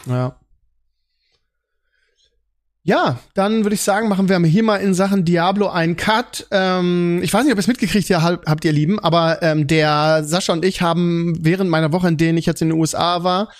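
Male podcast host, German, higher register, loose conversational delivery that circles back on itself. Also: moderate pace. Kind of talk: casual gaming podcast chat.

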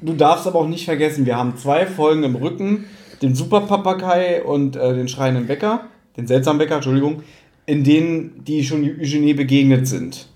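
A man's voice: fairly high pitch, brisk, dark tone. A man co-hosting a casual review chat, talking informally in conversation. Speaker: podcast host. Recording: roomy echo, noticeable background noise.

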